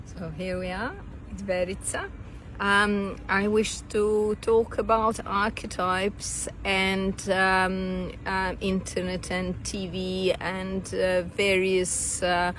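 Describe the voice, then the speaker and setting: A woman's voice: medium pitch, little pitch movement, slow, a bit bright, a little hiss or hum, poor audio. A woman hosting a podcast, interviewing a guest and asking hesitant questions full of fillers. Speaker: podcast host.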